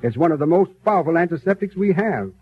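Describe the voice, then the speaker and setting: An actor playing a knowledgeable, enthusiastic guide who explains with assurance in scripted 1930s educational radio style. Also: male voice, a little high, animated, fast talker, lightly acted. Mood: informative. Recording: tinny, noisy 1930s radio recording.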